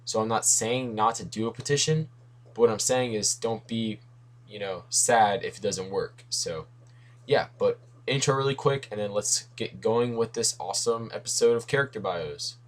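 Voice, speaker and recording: A man's voice: fairly low pitch, normal speed. Young guy rambling about comics, voice kinda worn out, keeps going off on little tangents. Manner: rambling, self-correcting, lots of asides. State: losing his voice. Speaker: comics video host. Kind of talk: casual rambling commentary.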